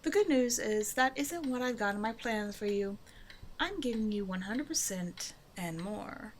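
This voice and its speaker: A woman's voice: medium pitch, animated, measured pace, a little hiss or hum, close to an everyday voice. A woman playing a flirtatious, self-assured supervillain in an intimate roleplay audio drama, talking casually and teasingly to the listener. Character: flirtatious female supervillain, a demanding but generous tailor's customer.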